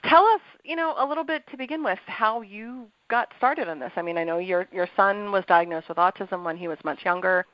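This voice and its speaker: A woman's voice: medium pitch, fairly quick, hardly any pauses, dry recording, highs cut off. A woman co-hosting a podcast as a coach, reading her intro in an upbeat, polished way and then interviewing a guest. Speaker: podcast co-host and ADHD coach.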